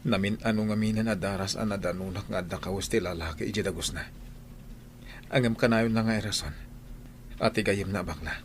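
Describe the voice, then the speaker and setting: A man's voice: lowish voice, fairly even intonation, brisk, lightly acted. A Filipino man with an Ilocano accent playing a besotted, suspicious husband in an audio drama, earnest and conversational. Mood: weary, defensive. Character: besotted, suspicious husband straying from his wife. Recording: some background noise.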